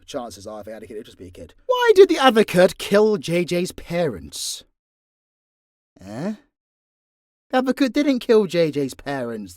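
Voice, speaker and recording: A man's voice: mid-range voice, a little mellow, no echo, studio quality. A man with a British accent answering questions in a casual, unscripted way, blunt, sweary and informal. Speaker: audio creator and voice actor.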